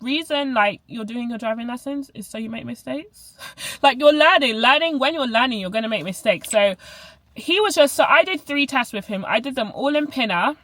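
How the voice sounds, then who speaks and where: A young woman with a Barbadian accent talks casually to her viewers in a slightly high voice, often stopping to correct herself. The sound is dry and close, with little room in it. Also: lively intonation, normal speed, a bit bright, no echo. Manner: chatty, self-correcting, lots of "like" fillers. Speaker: vlogger. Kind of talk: casual chat to camera.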